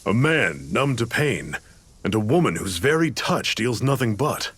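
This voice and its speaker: An actor playing a resolute resistance fighter in a video game, articulate and authoritative. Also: male voice, mid-range voice, sing-song, a little slow, fairly bright tone, husky, heavily acted. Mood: concerned, wondering. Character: grave, resolute male resistance fighter leading his comrades. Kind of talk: dramatic video game voice acting.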